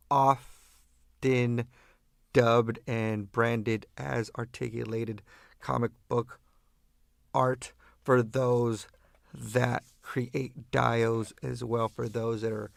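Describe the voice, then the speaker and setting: A man from East Los Angeles with an American accent, hosting a casual radio show as disc jockey in drawn-out, halting phrases. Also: medium pitch, gravelly, no room sound, studio quality.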